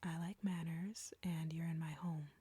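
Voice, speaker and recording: Slow, breathy, whispering vampire seductress played by a woman. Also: low voice, fairly even intonation, dark tone, no room sound, exaggerated voice. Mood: smug teasing. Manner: slow, taunting, condescending. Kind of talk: intimate whispered roleplay audio drama.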